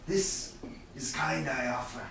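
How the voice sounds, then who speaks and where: Guy acting sly wronged old-world moneylender in shakespeare, slow and bright, halting delivery, far from the mic. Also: medium pitch, gravelly, exaggerated voice. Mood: slyly friendly. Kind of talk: staged reading of Shakespeare. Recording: distant, echoey room with background noise.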